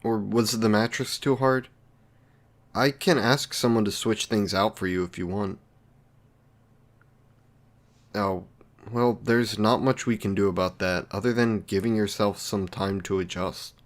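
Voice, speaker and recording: A man's voice: lowish voice, fairly even intonation, normal speed, close to an everyday voice. A man playing an awkward, lonely, considerate villain in an intimate roleplay drama, speaking in a hesitant, apologetic, polite way. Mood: apologetic, nervous.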